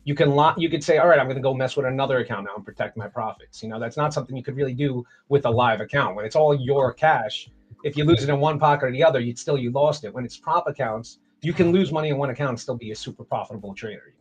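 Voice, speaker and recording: A man's voice: medium pitch, fast talker, husky, highs cut off. A middle-aged man chatting casually as a guest on a trading livestream, laid-back and rambling, with frequent restarts. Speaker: guest trader.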